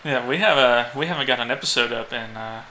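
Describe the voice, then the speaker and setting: A man with a bright, forward voice chats casually as a podcast co-host. He starts and restarts haltingly and chuckles often, over faint background noise. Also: medium pitch, low-quality recording.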